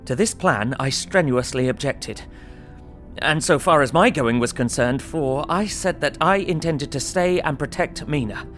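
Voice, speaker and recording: A man's voice: on the high side, a little slow, fairly bright tone, faint music behind. An actor playing an earnest, anxious young solicitor, narrating his diary. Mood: anxious, sombre.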